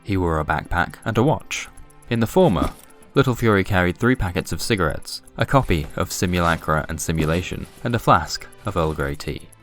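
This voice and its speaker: A man narrating scripted noir comic fiction in a deadpan, wry manner. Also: low-pitched, brisk. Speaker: narrator and presenter. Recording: music behind the voice. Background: music.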